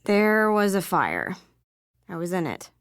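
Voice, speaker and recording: Sullen outcast girl, real low voice, sarcastic n guarded, dry game recording. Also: very animated, slow, clean tone, no echo, exaggerated voice. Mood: bitter, sardonic. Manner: terse, sardonic, withdrawn. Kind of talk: video game voice acting.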